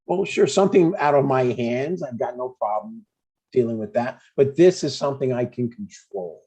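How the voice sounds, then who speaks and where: A man reads the part of an older father in a comedy script. His voice is soft-edged and husky. He chats breezily and needles gently, stubborn in an everyday, natural way. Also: mid-range voice, moderate pace, lightly acted.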